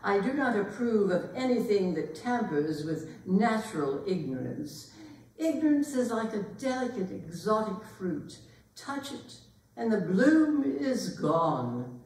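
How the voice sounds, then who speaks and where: A woman's voice: medium pitch, big pitch swings, unhurried, darkish tone, a little hiss or hum. Echoey distant hall, woman acting haughty upper-class matron, pompous pronouncements, swooping intonation, period stage comedy. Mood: haughty, imperious. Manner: pompous, pronouncing grand opinions. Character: imperious upper-class older mother interrogating a suitor. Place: performance hall, recorded from a distance.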